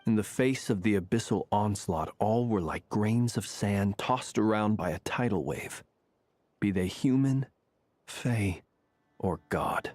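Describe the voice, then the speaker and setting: An actor playing a solemn, courteous, centuries-old gentleman in a video game, speaking husky, measured, formal lines. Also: fairly low pitch, no echo. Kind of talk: video game character acting.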